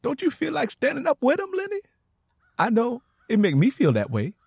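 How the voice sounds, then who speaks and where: A man voicing an earnest, excitable young country woman with an American Southern accent in an audiobook. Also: very high-pitched, moderate pace, gravelly, breathy edge, no echo, studio quality, pitched above the performer's own voice, full character voice. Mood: earnest, hopeful. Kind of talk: audiobook character dialogue.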